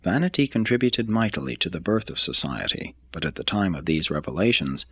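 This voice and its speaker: A man reading a written text aloud like an audiobook, in a measured, formal, deliberate manner. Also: fairly low pitch, sharp tone, breathy edge, dry recording. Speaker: reader of a text. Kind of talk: scripted reading, audiobook-like narration.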